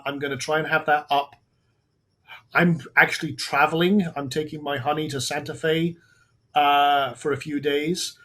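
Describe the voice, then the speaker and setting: A man hosting a live software webinar, talking casually through on-screen steps with frequent restarts while his voice goes hoarse. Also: medium pitch. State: voice going hoarse. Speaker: webinar host.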